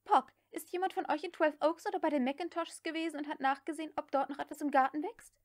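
A woman voicing a headstrong young Southern woman in an audiobook, giving curt, harsh orders in a sharp manner. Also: high-pitched, steady tone, fast, pitched above the performer's own voice, natural voice. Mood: curt, commanding. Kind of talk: audiobook character dialogue.

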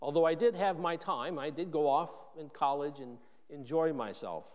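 A man's voice: on the high side, moderate pace, muffled recording. A man in his sixties, a pastor giving a conversational sermon, often restarting his sentences as he thinks aloud. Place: church sanctuary. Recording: long church reverb.

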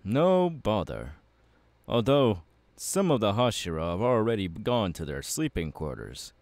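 A man acting out a confident anime warrior mentor in an audio roleplay. The voice is fairly low and very animated, unhurried and full of dramatic pauses as he instructs a newcomer. It is recorded dry and close. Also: no room sound. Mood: welcoming, instructive. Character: confident, fiery swordsman mentor, male anime demon slayer. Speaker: voice actor. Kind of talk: ASMR audio roleplay, addressing the listener.

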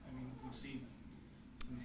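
A man's voice: mid-range voice, completely flat delivery, unhurried. A man, a reporter, asking direct questions from the floor of a press conference. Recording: distant voice in echoey room with loud hiss.

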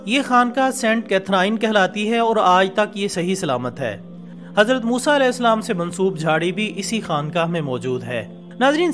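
A male narrator delivers a scripted documentary in a high-pitched voice. His telling is formal and measured, like a prepared story read out. Soft music plays underneath the narration. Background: background music.

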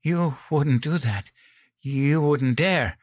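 A man's voice: mid-range voice, very animated, slow pace, no echo, voice pushed up, exaggerated voice. A man voicing a distraught, defiant mother in an audiobook, with a harsh, tight, strained delivery. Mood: tearful defiance. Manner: anguished, strained confrontation.

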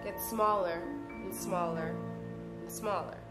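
A woman's voice: on the low side, fairly even intonation, unhurried. A woman reading a scripted narration in the style of a storybook. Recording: echoey, music underneath. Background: music.

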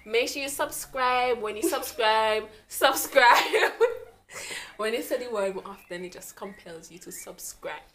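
A woman's voice: a little high, measured pace, a bit bright. A young woman hosting a casual vlog at home, playful and laughing often as she chats. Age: young adult. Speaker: vlogger host. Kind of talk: casual chat trying out accents. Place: small indoor room at home.

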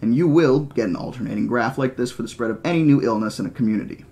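A man reads the part of a mathematician in a novel, certain of himself and condescending. He lectures at a middle pitch, didactic and flatly insistent, as in an audiobook. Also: fast talker, close to an everyday voice. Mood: matter-of-fact lecturing. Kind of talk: audiobook character reading.

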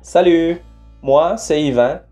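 A man from Mexico, native Spanish speaker and French teacher, introducing himself clearly with a Mexican Spanish accent on a webcam indoors. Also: fairly high pitch, slow, warm tone, soft background music. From Guadalajara, Mexico. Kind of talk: casual self-introduction.

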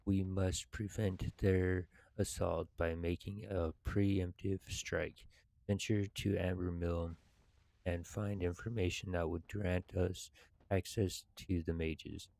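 Slow, deep, gravelly man reading aloud. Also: flat delivery, mellow, no echo. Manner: measured, halting reading. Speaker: gaming video host reading quests aloud. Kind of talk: reading game text aloud, casual gameplay commentary. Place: indoors at a computer.

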